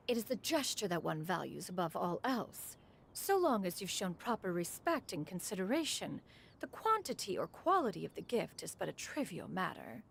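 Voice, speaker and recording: A stately ancient crane spirit. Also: female voice, lowish voice, gravelly, fairly bright tone, a little hiss or hum, heavily acted. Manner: formal archaic speech, refers to herself as "one". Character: ancient female crane spirit in human form, stately and formal. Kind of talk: video game cutscene voice acting. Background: game music.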